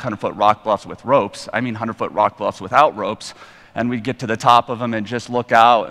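A man of forty with an American accent, giving an informal, self-deprecating personal testimony as a guest preacher in a large hall. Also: on the low side. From Colorado, United States. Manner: casual, self-deprecating, animated retelling of dialogue. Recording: reverberant hall.

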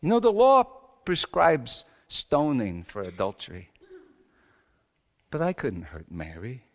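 A man playing Joseph, a wry, bewildered carpenter, in a halting, colloquial and theatrically emotional dramatic monologue. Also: mid-range voice, huge pitch swings, full character voice. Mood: hurt, incredulous. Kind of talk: dramatic comic monologue in a sermon. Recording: echoey hall with long reverb.

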